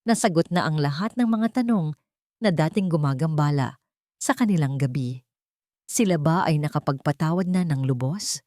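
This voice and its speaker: A woman reading a scripted dramatic story as narrator, in measured, sentimental short phrases. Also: low voice, animated, darkish tone, no echo, clean studio sound.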